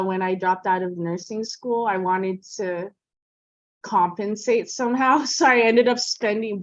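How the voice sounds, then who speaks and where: A woman's voice: mid-range voice, muffled recording. A woman with an American accent from California, chatting casually as an interviewer over a video call and laughing often. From California, USA.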